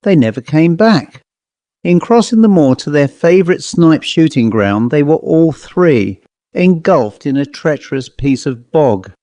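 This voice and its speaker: A man's voice: on the high side, very animated, measured pace, dark tone, dry recording. A man voicing a self-possessed, sly fifteen-year-old girl in a storybook, with a poised, confiding manner. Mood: solemn, confiding.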